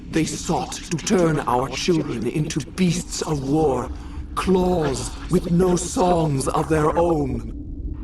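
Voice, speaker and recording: Solemn alien hive queen, very slow, stilted otherworldly delivery, distant noisy game audio. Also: rumbling low. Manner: slow, stilted, flat, poetic, otherworldly phrasing. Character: solemn alien insect queen speaking through a dying thrall. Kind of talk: video game cutscene acting.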